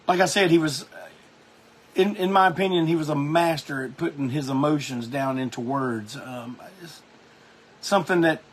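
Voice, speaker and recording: A man's voice: a little high, normal speed. A man hosting a music show, talking casually and enthusiastically about records, rambling with lots of restarts.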